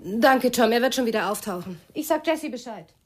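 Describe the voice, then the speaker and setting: An actress playing a brisk, polite mother in a German radio crime drama. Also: a little high, expressive. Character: Mrs. Benner, brisk, polite mother looking for a dog.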